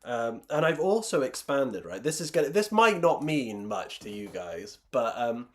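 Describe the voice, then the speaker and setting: A man's voice: medium pitch, very animated, normal speed, a bit bright. A man on a live stream, chatting casually and reading chat aloud, rambling and self-deprecating, while losing his voice. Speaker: streamer building miniatures.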